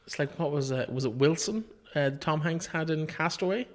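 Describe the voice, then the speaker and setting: A man's voice: a little high, moderate pace, a crowd in the background. A man with an Irish accent hosting a casual, conversational interview in a chatty, bantering manner. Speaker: interview host.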